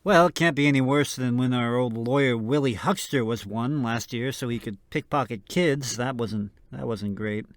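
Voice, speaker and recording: A man of average pitch hosts a radio show. He delivers scripted comic banter. The sound is close and dry. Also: normal speed, hardly any pauses, no room sound.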